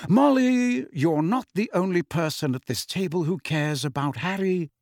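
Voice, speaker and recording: Man acting a calm, firm peacemaker, expressive intonation. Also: fairly high pitch, normal speed, dry recording, clean studio sound, voice pushed up. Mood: sharp.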